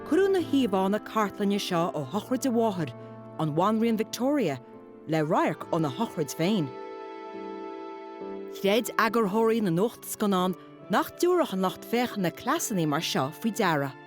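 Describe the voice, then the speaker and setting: A woman's voice: medium pitch, sing-song, normal speed. An Irish woman reads scripted documentary narration as a voice-over. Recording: voice-over with music behind. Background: music.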